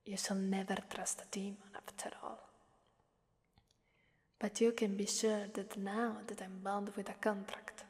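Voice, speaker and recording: A woman from Italy playing a sadistic, playful female demon in a whispered ASMR roleplay, teasing and menacing in a soft, breathy voice. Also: mid-range voice, no room sound. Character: sadistic, playful female demon mistress who relishes blood.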